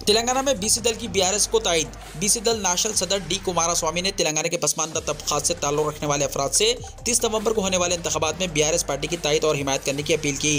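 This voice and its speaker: Fast, high-pitched male news reader. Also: a bit bright. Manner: formal, declarative reading. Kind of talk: scripted Urdu news bulletin narration.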